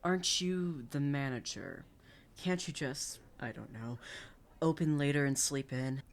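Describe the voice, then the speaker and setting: A man acting as a brash theater director in an audio drama, playfully indignant and theatrical. He banters with sassy emphasis. His voice sits at a medium pitch. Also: measured pace, lightly acted. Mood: fond, playful.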